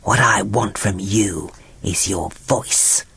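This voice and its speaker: A woman voicing a scheming sea witch in a storybook, sly and theatrical with drawn-out phrasing. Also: rumbling low, gravelly, fairly bright tone, husky, pitched below the performer's own voice, full character voice. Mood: demanding.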